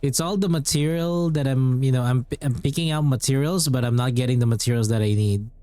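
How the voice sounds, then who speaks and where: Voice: mid-range voice, a little fast, warm tone, no room sound. A game streamer giving casual, offhand live commentary in an informal way, often repeating phrases.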